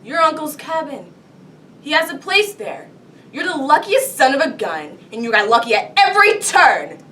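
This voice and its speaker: A woman's voice: sing-song, normal speed, pushed and bright, heavily acted. A woman performing a cocky police detective who lays out a crime to a suspect in a comic TV monologue, taunting and dramatic. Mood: building, triumphant. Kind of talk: comedy TV monologue performed solo. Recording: distant mic, rumbling background noise.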